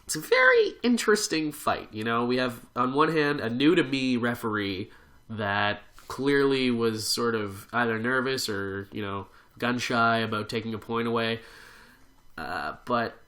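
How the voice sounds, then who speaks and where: Hoarse, nasal man with a bright edge. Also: mid-range voice, big pitch swings, unhurried. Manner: chatty, laughs often. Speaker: podcast host. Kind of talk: casual chat.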